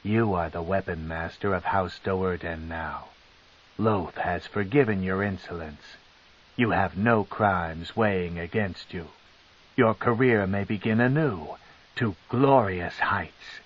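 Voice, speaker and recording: A man voicing a cold, scheming female dark elf matriarch, with a slow, commanding and sneering delivery. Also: sits low. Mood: coaxing. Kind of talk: audiobook character reading. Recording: dull lo-fi tape.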